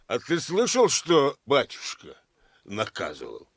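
Slow, pompous village elder. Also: male voice, medium pitch, huge pitch swings, gravelly, breathy edge, dry recording, clean studio sound, exaggerated voice. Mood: stern, questioning. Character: stern, self-important village elder, a man in authority. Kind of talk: Soviet radio play acting.

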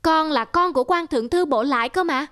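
High-voiced proud young schoolboy. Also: female voice, brisk, a bit bright. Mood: eager, confident. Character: proud, eager young schoolboy, official's son. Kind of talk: animated film dialogue. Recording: music behind.

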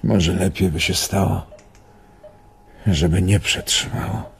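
Very husky, weary old man. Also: low-pitched, steady tone, exaggerated voice. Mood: resigned. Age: older. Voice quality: husky, gravelly. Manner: brooding, halting, world-weary. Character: weary old man near death, disillusioned and brooding. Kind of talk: radio drama acting.

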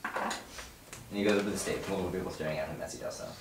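Very deep young man in an echoey room. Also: quick pace. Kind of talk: casual working discussion. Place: home dining room. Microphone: far camera mic. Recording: distant mic, echoey noisy room.

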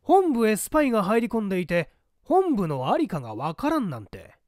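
A man voicing a pompous, brisk older government chief and bluff father with old-fashioned gentlemanly speech in an audiobook story. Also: sits high, huge pitch swings, dry recording, studio quality, voice pushed up. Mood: scoffing, incredulous.

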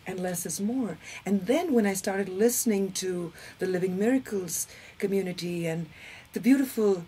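A woman in her thirties with an Indian accent and Hindi as her first language, giving personal testimony in an expressive, emphatic way. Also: mid-range voice, normal speed. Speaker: interview guest, spiritual community member. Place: indoor set with curtain backdrop, seated. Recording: clear background noise.

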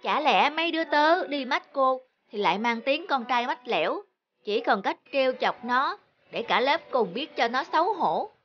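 A woman voicing an earnest schoolchild in an animated cartoon, speaking expressively. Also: high voice, normal speed, dull audio. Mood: grumbling. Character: earnest schoolchildren, classmates who tease and make up. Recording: music bed under the voice. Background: background music.

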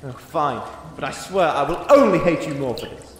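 Man as a high, fussy fantasy adventurer. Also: expressive, normal speed, close to an everyday voice. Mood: disgusted, fussy. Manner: fussy, exasperated, formal phrasing. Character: prim, indignant, grudging male adventurer. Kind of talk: comedic fantasy audio drama. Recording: echoey reverberant room with some noise.

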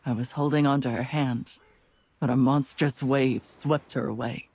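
A man's voice: medium pitch, fairly even intonation, normal speed, darkish tone, husky, natural voice. Casting: male actor as a resolute young adventurer haunted by guilt, mid-range voice, video game dialogue. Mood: regretful.

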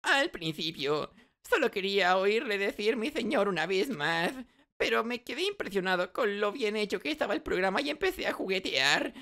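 A man's voice: sits high, sing-song, normal speed, pushed and bright, pitched above the performer's own voice, full character voice. A man voicing a cowardly nerdy teenage boy, whiny, stammering and overdramatic. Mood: sheepish.

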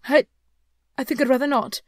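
A woman's voice: a little high, expressive, a little fast, no echo, clean studio sound, natural voice. A woman voicing a frightened, deferential businessman in a storybook, humble, pleading and faltering as he speaks to a ghost. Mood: faltering, hesitant. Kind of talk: storybook character reading.

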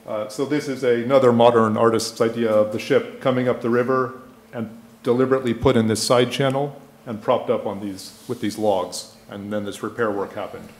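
An echoey indoor recording of a middle-aged man presenting at a conference. He speaks at an ordinary male pitch into a lectern microphone. His delivery is explanatory and informal, with pauses as he searches for the next phrase. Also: fairly even intonation. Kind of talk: public lecture with slides. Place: indoor lecture room. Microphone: gooseneck lectern microphone. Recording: echoey room sound.

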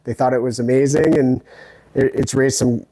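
A man in his thirties from New Hampshire answers as an interview guest in a conversational way. His voice is medium in pitch. The recording is dry and close, from a clip-on mic indoors. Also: fairly even intonation, normal speed, mellow, no room sound, rough recording. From New Hampshire, United States. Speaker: interview guest, thru-hiker and army veteran. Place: small hotel room, indoors. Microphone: clip-on lavalier on the chest.

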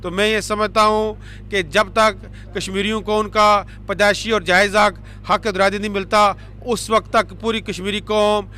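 Male campaigner, high bright voice, rhetorical outdoor statement. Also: flat delivery, moderate pace. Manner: emphatic, rhetorical. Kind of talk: street interview statement. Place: city street outdoors. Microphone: handheld mic.

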